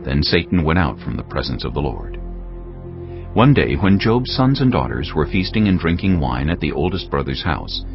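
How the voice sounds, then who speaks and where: A man narrating scripture in a grave, measured way. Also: rumbling low, sing-song. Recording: dull lo-fi recording. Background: cinematic music.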